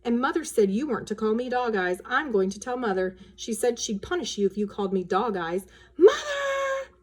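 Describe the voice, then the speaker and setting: Woman as storybook big sister, a little girl who is bossy and quick to tattle. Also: on the high side, sing-song, husky. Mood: indignant. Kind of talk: children's storybook read-aloud.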